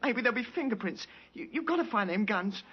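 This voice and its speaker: Frightened lad of seventeen, quick speech, hoarse edge, stammering, pleading, 1950s radio drama. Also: male voice, sits high, expressive. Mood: desperate pleading. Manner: stammering, breathless protests. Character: frightened seventeen-year-old boy crook, pleading. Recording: muffled 1950s radio recording.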